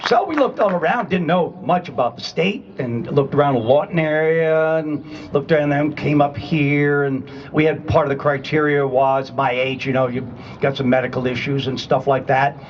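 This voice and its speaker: A man's voice: a little high, normal speed, dull audio, slight background noise. A man in his sixties with a New England accent, a retired Army man giving a conversational, emphatic speech at a public rally. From Rhode Island and Connecticut, US. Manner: conversational and emphatic, checks in with "okay?". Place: public rally at a state capitol.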